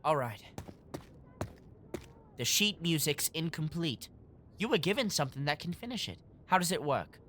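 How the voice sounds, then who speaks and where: A determined urchin boy in an audio drama. The voice is low and earnest, with faint rumble in the background. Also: very animated, moderate pace, pauses often, fairly bright tone. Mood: hesitant, determined. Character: plucky boy leader of street urchins.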